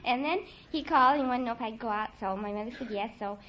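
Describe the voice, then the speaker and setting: A woman's voice: fairly high pitch, fast talker. A young woman from New Orleans chatting earnestly and in detail as a contestant on a 1940s radio quiz. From New Orleans, Louisiana. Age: young adult. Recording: old 1940s radio, dull, noisy, audience.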